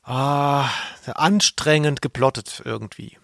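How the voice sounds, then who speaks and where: A man chats casually as a podcast co-host. His mid-pitched voice has plenty of vocal fry. He sounds laid-back and hesitant, in a very dry recording. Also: takes it slowly, fairly bright tone, no echo.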